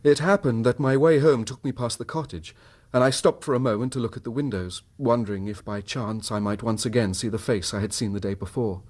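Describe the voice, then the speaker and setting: An actor playing a troubled, suspicious husband in a radio drama, speaking in formal, precise phrases. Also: male voice, mid-range voice, brisk, few pauses, a little mellow, natural voice. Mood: sombre, bitter. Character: troubled, suspicious husband recounting his wife's secret.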